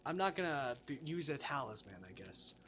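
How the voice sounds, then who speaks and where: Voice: mid-range voice, very animated, brisk, a bit bright, slight background noise. A game streamer commentating on a visual novel live, swinging expressively between muttering and exclaiming. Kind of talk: gaming livestream commentary, reading game text aloud. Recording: music behind the voice.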